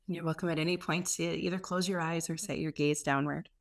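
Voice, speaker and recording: A woman leading a guided meditation as a teacher, speaking in an unhurried way with gentle pauses between phrases. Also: low voice, fairly even intonation, creaky. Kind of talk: guided meditation, softly instructive.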